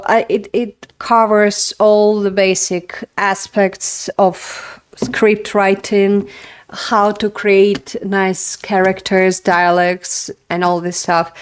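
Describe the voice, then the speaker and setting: A woman's voice: mid-range voice, clear, resonant tone. A young woman whose first language is Russian, hosting a casual talk-to-camera book review, speaking in a chatty way full of "like" and "you know". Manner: chatty, filler-heavy, frequent "like". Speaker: writing video host.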